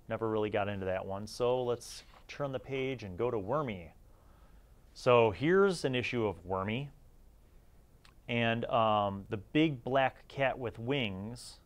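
A man with a fairly low-pitched voice hosts a casual review. He talks without a script, thinking aloud as he browses and wandering into conversational asides. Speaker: host of a retro gaming review series. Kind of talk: casual unscripted review while leafing through a magazine.

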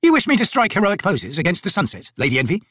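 A man's voice: high-pitched, wildly swooping pitch, fast talker, fairly bright tone, slightly rough, dry recording, clean studio sound, voice pushed up, heavily acted. A man voicing a haughty, teasing noble sorceress in an audiobook, with ornate, lilting, imperious phrasing.

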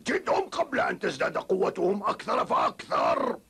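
Cartoon tyrant, cruel, menacing, gravelly, very high pitched, barking threats. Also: male voice, huge pitch swings, a little fast, a crowd in the background, heavily acted. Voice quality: raspy. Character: cruel, tyrannical male enemy commander, short-tempered and menacing.

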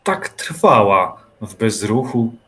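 A man's voice: on the low side, big pitch swings, slow pace, a bit bright. A man reading scripted literary narration as the narrator of an audio drama.

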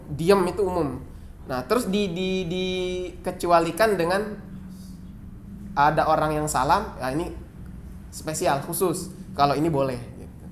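Indonesian male teacher, slightly higher voice, patient and repetitive explanations, faint background hiss. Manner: reads Arabic passages then explains in plain, repetitive phrasing. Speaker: Islamic jurisprudence teacher. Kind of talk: explaining and translating a classical Arabic text.

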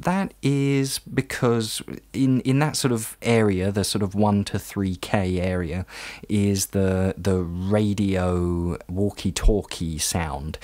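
Low-voiced man giving an audio tutorial. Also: darkish tone. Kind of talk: tutorial narration, casual.

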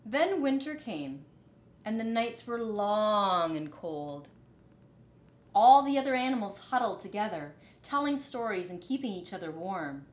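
Woman, storyteller, animated pitch, deliberate, children's read-aloud, roomy indoor echo. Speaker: storyteller, teacher. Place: living room, indoors on a couch.

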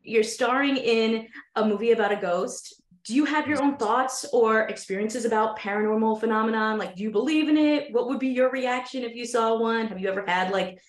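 A woman's voice: fairly high pitch, brisk, few pauses, reverberant. A woman interviewer asking enthusiastic questions over a video call from a room at home. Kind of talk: press junket questions.

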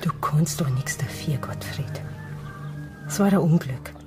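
A woman's voice: low-pitched, unhurried, music in the background. Half-whispering farm woman. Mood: tender, worried. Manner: soft, coaxing. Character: gentle farm woman coaxing and consoling a man. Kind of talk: naturalistic radio crime drama acting.

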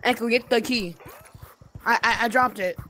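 A young streamer chatting casually with viewers in slang during a game. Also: female voice, a little high, normal speed, pushed and bright, a little hiss or hum. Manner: slangy, talks to chat. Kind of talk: casual gaming chat to viewers.

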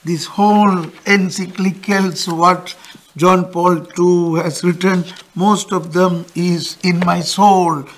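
Older man, high voice, slow deliberate catechism teacher, studio lapel mic. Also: steady tone, poor audio. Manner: gestures broadly while explaining, pauses for interpreter. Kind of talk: catechism lesson with interpreter. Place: studio with backdrop.

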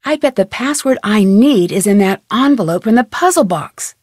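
An actress playing a plucky, curious young detective who perkily talks to herself in a video game. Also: female voice, medium pitch, animated, moderate pace, a little mellow, dry recording, studio quality, natural voice. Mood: matter-of-fact. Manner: perky, crisp, self-talking. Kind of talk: video game character lines.

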